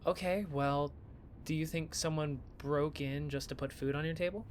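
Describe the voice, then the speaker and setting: A man playing a flippant but caring young student in an audio drama, talking in a casual, sardonic, expressive way. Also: fairly high pitch, moderate pace, a little hiss or hum, natural voice. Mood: curious, startled.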